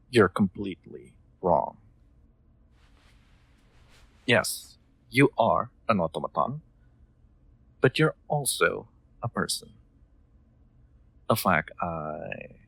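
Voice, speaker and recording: Man acting as kind soft-spoken master, low creaky voice, gentle formal phrasing, hesitant, intimate audio roleplay. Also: dry recording, exaggerated voice.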